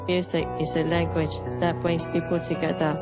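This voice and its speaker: A woman's voice: sits low, level tone, normal speed. A woman giving a simple, reflective voice-over in a personal video. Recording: loud music behind the voice. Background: loud music.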